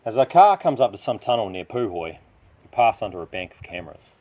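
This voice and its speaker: A man's voice: on the low side, sing-song, brisk, darkish tone. A man with a New Zealand accent reads a first-person crime story with a gritty, emphatic, dramatic delivery. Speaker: author reading own short story.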